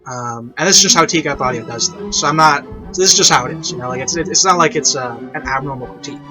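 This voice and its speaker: A man's voice: on the high side, quick pace. A man hosting a casual, unscripted review, rambling and repeating himself to make his point. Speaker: review show host, online reviewer. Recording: dull lo-fi mic, music behind. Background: orchestral and piano classical music.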